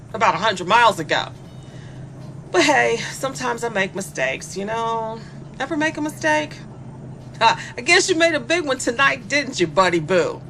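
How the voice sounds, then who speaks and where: Taunting sadistic female killer, swooping and creaky. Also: medium pitch, fairly bright tone, slight background noise. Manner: mocking, flirtatious, toying with the captive. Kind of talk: dark comic horror audio drama monologue. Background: music.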